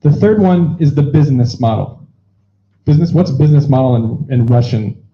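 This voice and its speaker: A man's voice: medium pitch, expressive, a little hiss or hum. A man facilitating an event, explaining the rules to an audience in an upbeat, joking way. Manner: upbeat, joking, quick asides. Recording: dull lo-fi sound.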